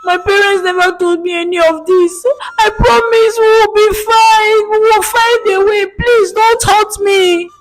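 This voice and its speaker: Trembling, high-pitched little girl. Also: flat delivery, unhurried, rough recording. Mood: desperate pleading. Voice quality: thin, trembling voice. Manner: pleading, crying out. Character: frightened pleading small girl, innocent and tearful.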